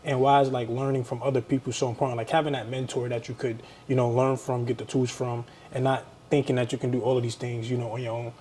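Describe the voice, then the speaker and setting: A man hosting a podcast interview, encouraging and quick to affirm his guest. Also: medium pitch, little pitch movement, dark tone, a little hiss or hum. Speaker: podcast host. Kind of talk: casual interview conversation.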